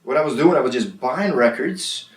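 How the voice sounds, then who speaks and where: A man in his thirties from Serbia, a guest DJ talking casually in an interview, halting with fillers and restarts. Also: medium pitch, lively intonation. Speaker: guest DJ and producer. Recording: roomy, mic at a distance.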